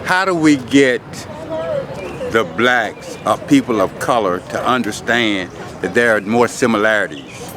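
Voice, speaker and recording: A man's voice: on the low side, lively intonation, unhurried. Man asking brief questions at a rally. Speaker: street interviewer. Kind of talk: street interview questions. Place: outdoor street rally. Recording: outdoor recording with loud crowd.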